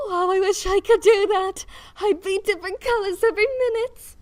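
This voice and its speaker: A woman voicing a vain, bubbly, naive young dragon princess in an airy, girlish way for an animated fan dub. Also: extremely high pitch, unhurried. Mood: wide-eyed, admiring. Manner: airy, self-satisfied, girlish.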